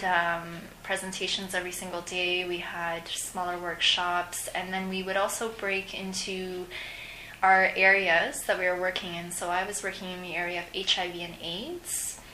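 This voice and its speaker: A woman's voice: mid-range voice, bright, forceful tone. Woman interviewee in an echoey, noisy recording. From Canada. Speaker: interview guest, returned overseas volunteer. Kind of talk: conversational interview answers. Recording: echoey room, clear background noise.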